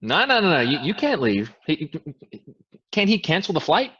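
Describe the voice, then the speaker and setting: A man playing a cocky, blunt schemer in a staged play reading, with a husky voice. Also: fairly high pitch, very animated, normal speed, a bit bright, full character voice. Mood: insistent. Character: cocky, blunt male passenger in a pyramid scheme. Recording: dull lo-fi recording.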